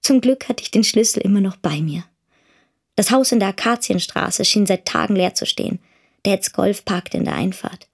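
An actress playing a matter-of-fact grown daughter, a young woman with a husky, creaky voice, narrating in the first person. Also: medium pitch, moderate pace. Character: matter-of-fact grown daughter searching for her missing father.

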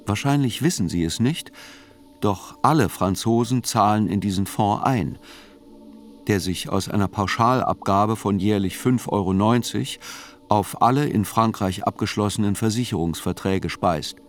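An actor narrating a radio play as a sober court chronicler, with measured, matter-of-fact and deliberate phrasing. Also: male voice, on the low side, natural voice. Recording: music under the voice. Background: music.

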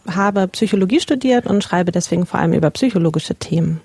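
Woman, early 30s, lowish voice, dry close recording, earnest and measured. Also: expressive, darkish tone, breathy edge, no room sound. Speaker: journalist interviewee. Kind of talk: personal recollection in an interview.

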